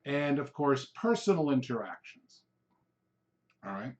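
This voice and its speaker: A man's voice: mid-range voice, very animated, measured pace. Man, native Hungarian speaker, podcast host, rambling coaching monologue, frequent fillers, dull lo-fi sound. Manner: loose, rambling coaching talk with frequent "um" and "all right?". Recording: dull lo-fi recording.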